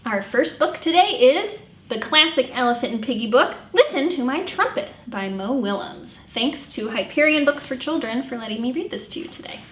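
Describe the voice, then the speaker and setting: Bright woman hosting children's story time. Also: sits high, expressive, moderate pace, few pauses. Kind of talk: children's story time presentation with finger rhymes. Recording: echoey room with faint background noise.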